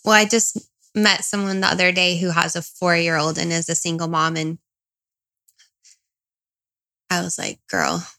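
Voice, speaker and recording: Woman, thirties, low bright voice with vocal fry, candid interview guest, confiding and reflective. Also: fairly quick. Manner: reflective, confiding, self-questioning. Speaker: interview guest, single parent. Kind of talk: candid personal conversation.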